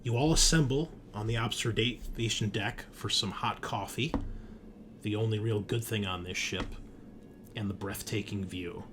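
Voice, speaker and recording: A man's voice: on the low side, measured pace. A man hosting a sci-fi horror tabletop game as game master, speaking to players and listeners. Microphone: streaming setup at a computer. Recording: background noise, room ambience.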